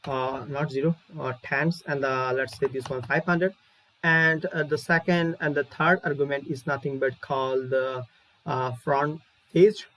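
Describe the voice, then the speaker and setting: A man's voice: mid-range voice, steady tone, unhurried, a bit bright, low-quality recording. A man with a Bangladeshi accent giving an informal coding tutorial, thinking aloud step by step and repeating his phrases. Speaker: programming tutorial instructor. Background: keyboard typing.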